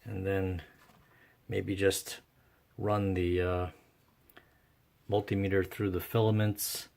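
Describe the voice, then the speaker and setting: Deep, gravelly-voiced man thinking aloud. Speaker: host of a radio repair vlog. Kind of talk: casual unscripted workbench narration.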